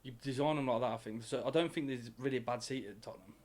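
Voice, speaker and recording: Fast-talking sweary young man. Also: mid-range voice, a little mellow. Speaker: podcast co-host. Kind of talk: casual chat.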